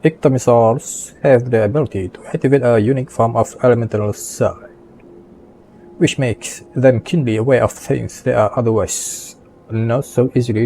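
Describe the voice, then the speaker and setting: Slow-talking man doing casual gameplay commentary. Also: mid-range voice, mellow, a little hiss or hum. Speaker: video game streamer. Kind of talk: casual gameplay commentary, reading on-screen text. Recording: faint game music behind.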